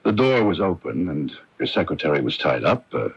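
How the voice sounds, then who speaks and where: A shrewd police lieutenant in a radio mystery, with a low, husky voice. He questions in a casual, offhand way that swoops up and down, on a dull old broadcast recording. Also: big pitch swings, fairly quick, full character voice. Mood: casual, probing. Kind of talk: 1980s radio mystery acting.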